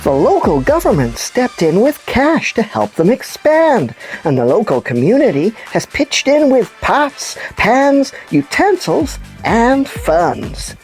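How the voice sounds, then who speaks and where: Woman voicing an expressive puppet news presenter, swooping pitch. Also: slow, hardly any pauses, faint music behind. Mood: upbeat, informative. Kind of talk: scripted kids' news show presenting.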